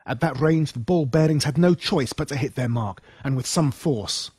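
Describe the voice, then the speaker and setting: A man's voice: a little high, moderate pace, darkish tone, breathy edge, no echo, studio quality. A man with an Australian accent reading a scripted first-person horror story in a dramatic, suspenseful way. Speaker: audiobook narrator.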